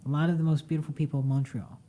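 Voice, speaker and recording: A woman's voice: low voice, flat delivery, racing, dark tone. A woman from the United States hosting an interview, drawing out her guest with frequent short affirmations. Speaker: interview host. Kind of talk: casual interview talk.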